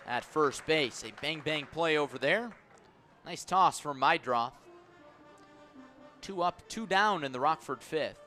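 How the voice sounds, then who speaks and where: A man calling baseball play-by-play on the radio in measured, descriptive, clipped phrases. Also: medium pitch, sharp tone. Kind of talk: radio sports commentary.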